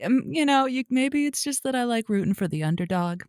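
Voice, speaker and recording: A woman playing a folksy, diplomatic radio advice host who gently counsels callers on a comedy show. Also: fairly high pitch, expressive, fairly quick, no room sound. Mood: reassuring, diplomatic.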